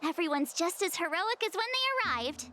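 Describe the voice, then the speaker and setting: A perky high-voiced crewmate. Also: female voice, big pitch swings, normal speed, pushed and bright, a little hiss or hum, exaggerated voice. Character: bubbly young woman crewmate. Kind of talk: video game voice acting. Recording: game audio over music.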